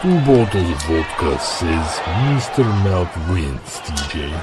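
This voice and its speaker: Very deep, slow, gruff fighter. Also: male voice, very animated, over loud music, a loud crowd, full character voice. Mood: smug. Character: gruff, dry male fighter, needling his brother. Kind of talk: audio drama acting.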